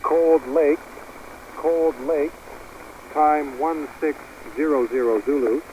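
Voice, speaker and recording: A man reading a scripted aviation weather bulletin over shortwave radio in a clipped, formal monotone. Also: a little high, takes it slowly, narrow, tinny audio. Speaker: military aviation weather broadcaster. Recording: noisy shortwave radio through a speaker.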